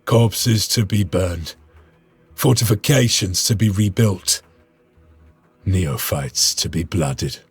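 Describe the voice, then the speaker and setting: An actor playing a grim veteran space marine sergeant, brooding and stern, with a husky voice. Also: male voice, sits low, takes it slowly, long pauses, dry recording, exaggerated voice. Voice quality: gravelly, husky. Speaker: sergeant narrator. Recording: game cutscene with music.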